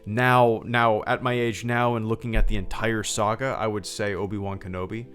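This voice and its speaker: A man's voice: fairly low pitch, flat delivery, normal speed, fairly bright tone, soft background music. A man in his thirties hosting a casual livestream, rambling with lots of fillers and restarts and reading out thanks to viewers. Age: 30s. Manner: reads out viewer thank-yous. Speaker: livestream host.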